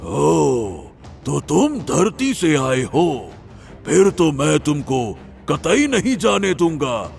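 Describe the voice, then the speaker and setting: A man voicing a gruff demon guardian who hates humans, menacing and declamatory, with a gravelly voice in a cartoon story. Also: fairly high pitch, big pitch swings, drawn-out words, a little mellow, soft background music, heavily acted.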